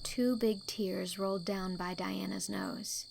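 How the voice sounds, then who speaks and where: A woman narrating a storybook in a measured, expressive, scripted way. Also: mid-range voice, creaky, slight background noise, rough recording. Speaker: audiobook narrator.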